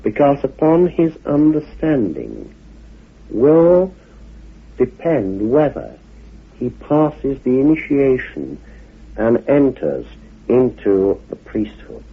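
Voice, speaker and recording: A man giving an explanatory lecture on esoteric history, speaking in a deliberate, measured way with emphatic phrasing and pauses, on a muffled vintage radio recording. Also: mid-range voice, wildly swooping pitch, husky. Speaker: lecturer, guest speaker on a documentary.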